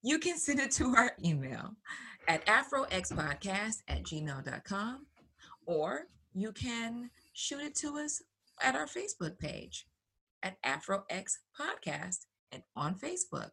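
A woman's voice: mid-range voice, sharp tone, slightly rough. A woman co-hosting a podcast in a chatty, presenting style. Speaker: podcast co-host.

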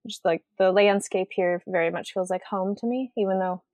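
Woman from the Pacific Northwest, interview guest, relaxed rambling talk, frequent ums, dry close recording. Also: mid-range voice, normal speed, no echo. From Western Washington, USA. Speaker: guest, writer and artist. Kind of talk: casual conversational interview.

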